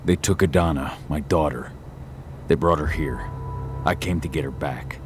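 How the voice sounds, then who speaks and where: A man playing a stern, determined werewolf warrior and father in a video game, speaking tersely, with a gruff manner. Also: rumbling low, normal speed, slight background noise. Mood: grim, determined. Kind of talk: video game cutscene acting.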